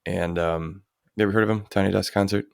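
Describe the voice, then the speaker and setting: Real low gravelly guy, chill podcast storytelling, dry close mic, deadpan little jokes. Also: fast, no room sound. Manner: easygoing storyteller, dry quips. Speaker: podcast co-host. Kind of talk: casual chat. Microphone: home podcast mic.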